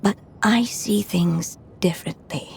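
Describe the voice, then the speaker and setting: Gentle storyteller, video game drama, very slow, reflective, breathy phrase endings, resolute, faint noise behind. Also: female voice, medium pitch. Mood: reflective, yearning. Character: ailing, wistful woman storyteller, gentle and resolute.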